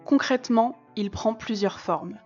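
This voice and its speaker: A woman's voice: fairly high pitch, a little slow, a little mellow. A woman reading a scripted promotional narration. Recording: slightly dull sound, music behind. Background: music.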